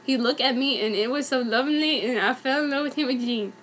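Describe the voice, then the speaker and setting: A woman's voice: high voice, a little fast, fairly bright tone, slight background noise. A young woman giving a dramatic, mock-earnest comic reading of a fan story, misspellings and all. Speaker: fan fiction reader.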